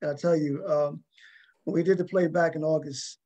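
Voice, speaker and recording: A man hosts a conversational talk show. His voice is soft-edged and mellow, sitting a little higher than most men's. He rambles toward his questions, doubling back on words. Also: steady tone, normal speed. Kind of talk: conversational interviewing.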